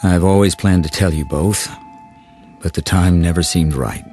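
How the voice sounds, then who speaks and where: An actor playing a gentle man who reads a letter aloud in an audio drama, in a measured way over theme music. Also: low-pitched, natural voice. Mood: solemn, tender. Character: gentle man reading a dead woman's confession aloud. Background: sentimental theme music.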